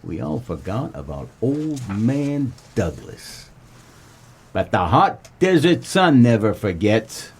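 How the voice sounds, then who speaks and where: Man playing a husky wisecracking noir private eye. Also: mid-range voice, takes it slowly, heavily acted. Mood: wistful. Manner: wry, deadpan, colloquial. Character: wisecracking, world-weary male 1940s private eye. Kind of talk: comedic noir radio play narration.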